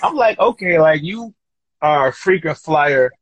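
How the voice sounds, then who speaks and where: A man's voice: fairly high pitch, expressive, a little slow, a bit bright, no room sound. A man hosting a podcast in an encouraging, slangy way, affirming his guest often. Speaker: podcast host.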